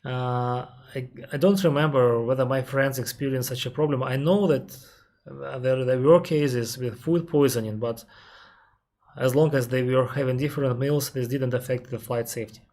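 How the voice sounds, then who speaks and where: A man with a Russian accent, an airline captain on a live stream, reading out viewer questions and answering them carefully, often pausing with "uh" and restarting his sentences. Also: medium pitch, fairly even intonation, darkish tone. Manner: careful, explanatory, pauses with frequent "uh" and restarts; reads viewer questions aloud, then answers at length.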